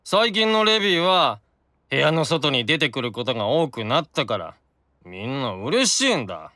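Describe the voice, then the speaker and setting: An actor playing a tipsy, affectionate young demon brother in a Japanese audio drama, earnest and insistent with childlike drunken slurring. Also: male voice, mid-range voice, big pitch swings, normal speed, sharp tone, no room sound, studio quality. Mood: earnest, concerned.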